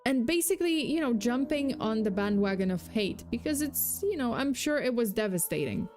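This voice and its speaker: A woman's voice: a little high, lively intonation, normal speed, a little mellow. Young female streamer with a slight Polish accent, loose and rambling delivery, faint music underneath. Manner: chatty, full of fillers and self-corrections, reads chat aloud. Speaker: VTuber host. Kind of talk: casual true-crime livestream chat.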